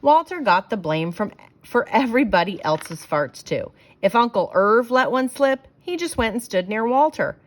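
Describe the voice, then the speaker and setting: A woman reading a children's picture book aloud in an expressive, emphatic storytelling style. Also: a little high, moderate pace. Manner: animated, emphatic, dramatic phrasing for children.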